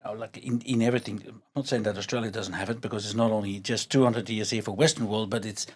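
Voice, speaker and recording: A man's voice: lowish voice, fairly even intonation, fast talker, breathy edge. A man in his sixties from Belgium with a Belgian accent, chatting as a podcast guest, repeating words as he searches and laughing easily. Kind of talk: casual interview chat.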